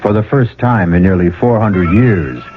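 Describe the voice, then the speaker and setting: A man's voice: low voice, moderate pace, phone-quality sound. A man hosting a radio drama, narrating theatrically and addressing the listener in a wry, conspiratorial way. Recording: thin old radio recording. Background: music.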